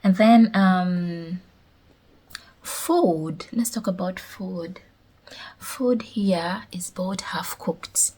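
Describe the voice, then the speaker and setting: A young woman from Uganda speaks in a husky, slightly breathy voice, telling stories in an animated, chatty way. She talks casually to the camera with a conversation partner, laughing between her points. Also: on the low side, drawn-out words. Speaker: vlogger. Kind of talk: casual chat.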